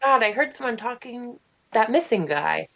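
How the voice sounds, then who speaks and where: A woman's voice: fairly high pitch, animated, moderate pace, creaky, fairly bright tone. A woman playing in an online tabletop game, hesitant and full of fillers as she fishes for information, over slightly muffled online audio. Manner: hesitant, fishing for clues with fillers. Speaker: player. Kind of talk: casual tabletop game play. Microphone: video call mic.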